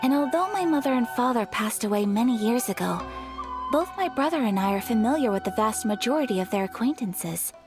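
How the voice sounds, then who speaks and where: A woman playing a polite, gentle young noblewoman in an anime video game, speaking formally and with courteous, measured phrasing. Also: on the high side, animated. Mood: earnest, composed. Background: background music.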